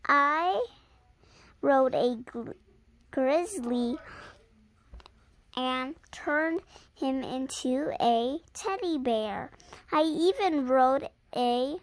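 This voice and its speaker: A young girl of about seven or eight reading a story aloud haltingly and chatting to viewers in casual asides. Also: high-pitched, lively intonation. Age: child around seven or eight. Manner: halting, sounding out and repeating words.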